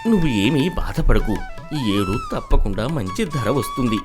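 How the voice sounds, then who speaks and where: Poor anxious farmer acted by a man for a story video: humble, weary, pleading, with wide pitch swings. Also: wildly swooping pitch, normal speed, over music. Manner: pleading, sorrowful.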